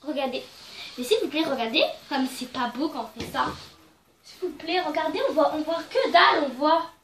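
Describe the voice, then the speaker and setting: A young woman with a high voice talks her way through a home craft video, rambling and playful. Her nose is stuffy from a cold. She records on a phone at home, and a faint noise sits behind her. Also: moderate pace, a bit bright, low-quality recording. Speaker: craft vlogger. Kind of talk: casual craft tutorial. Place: at home indoors. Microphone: phone camera.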